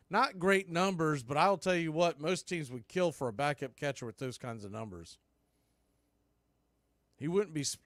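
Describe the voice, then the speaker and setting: A man calls the game pitch by pitch with a relaxed, conversational flow that lifts as the action builds. His voice sits a touch high and sounds dry and close to the mic. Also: no room sound. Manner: conversational, rising on big plays. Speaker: play-by-play announcer. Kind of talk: radio play-by-play commentary.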